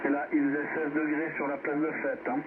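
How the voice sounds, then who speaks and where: A man's voice: a little high, level tone, brisk. A man chatting casually and hesitantly as an amateur radio operator over shortwave radio. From Switzerland. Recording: narrowband shortwave radio, loud static noise.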